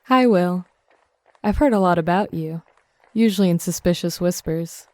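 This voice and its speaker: A young woman playing a tough, sardonic zombie killer in an audio drama, with a deadpan, teasing, brisk delivery. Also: on the low side, no echo, natural voice. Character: tough, sardonic twenty-five-year-old woman, cocky zombie killer. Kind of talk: audio drama acting, casual dialogue.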